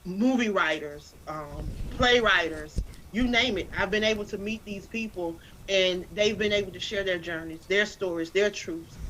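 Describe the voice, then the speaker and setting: A woman with a South Carolina Southern accent, a guest in a casual interview, talking in an animated, preacherly way and often repeating words as she builds a point. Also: medium pitch, a little hiss or hum, poor audio. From Anderson, South Carolina. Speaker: guest, literacy campaign founder.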